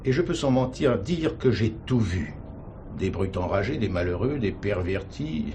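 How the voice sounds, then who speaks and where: Casting: devout, pleading chaplain, played by a man, low register, very expressive swings, courteous radio-play delivery, some hiss behind. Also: moderate pace, lightly acted. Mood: earnest. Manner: courteous, earnest, formal diction. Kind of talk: 1970s French radio drama acting.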